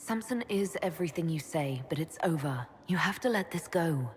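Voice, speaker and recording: An actress playing a gentle, steadfast woman leader in love in a fantasy game, soft, measured and reassuring. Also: sits low, expressive, slight background noise. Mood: compassionate, firm. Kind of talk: dramatic video game voice acting. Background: orchestral game music.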